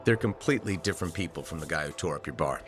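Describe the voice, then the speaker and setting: A friendly video game adventurer with a very low voice. He speaks casually and reassuringly, asking gentle, probing questions. Soft music plays behind him. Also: animated, very fast. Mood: casual, wry. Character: steady, friendly male freelancer adventurer, helpful and gently probing. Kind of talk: video game dialogue acting.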